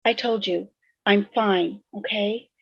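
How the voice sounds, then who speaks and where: A woman's voice: medium pitch, steady tone, slow pace, vocal fry, breathy edge, no echo, full character voice. A woman playing a shaken, defensive teenage girl in an amateur table read over a video call. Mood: curt, defensive. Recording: dull video call audio.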